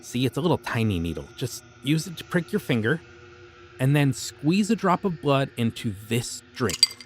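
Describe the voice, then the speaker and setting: A man voicing a friendly but creepy young occult shopkeeper in an audio roleplay, talking in a casual, easygoing way about dark rituals. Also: mid-range voice, big pitch swings, moderate pace, a little hiss or hum, lightly acted. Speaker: voice actor.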